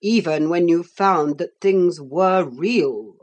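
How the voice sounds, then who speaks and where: A woman voicing a grave, calm Roman emperor who passes judgment in a slow, measured way. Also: lowish voice, dull audio. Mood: solemn.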